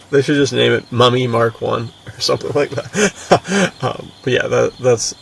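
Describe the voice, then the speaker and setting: A man's voice: mid-range voice, lively intonation, slow pace, gravelly, fairly bright tone. A man co-hosting a podcast in a casual, enthusiastic, slangy way, peppering his talk with dude and like. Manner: slangy, bro-ish, full of "dude" and "like". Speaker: podcast co-host. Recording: distant mic with faint background noise.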